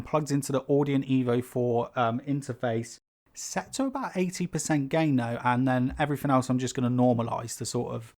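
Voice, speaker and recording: Man, mid-pitched, sibilant and slightly rough-throated, rambling casual delivery, small home room, overhead condenser mic. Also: a little mellow. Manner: rambling, chatty, many fillers and asides. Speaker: YouTube creator. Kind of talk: casual unscripted talk to camera. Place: small carpeted home room with foam panels. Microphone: pencil condenser on a stand above the speaker.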